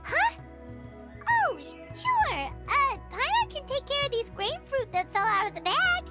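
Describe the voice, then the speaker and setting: A woman voicing a small, excitable female fairy companion who talks about herself in the third person, over game music. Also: a little slow, full character voice. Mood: surprised. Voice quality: very high and squeaky.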